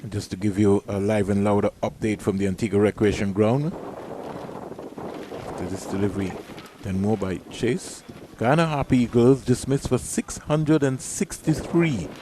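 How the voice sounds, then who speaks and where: A man giving measured live cricket commentary, reading out scores and ball-by-ball play. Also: on the low side, fairly even intonation, noisy background.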